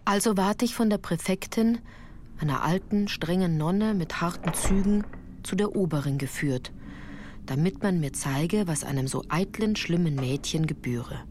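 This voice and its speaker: A woman's voice: on the low side, moderate pace, darkish tone, a little hiss or hum. A woman reading scripted first-person literary narration for a radio play in a composed, articulate storytelling manner.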